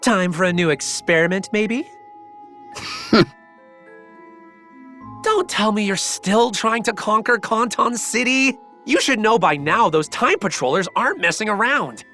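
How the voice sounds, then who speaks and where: Game villainess: cynical experimenter, wry and sly, lively swinging delivery over music. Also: female voice, mid-range voice, measured pace, long pauses. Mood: bored, wry. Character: cynical female mad scientist, sly and weary. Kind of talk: anime video game voice acting. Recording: music behind the voice.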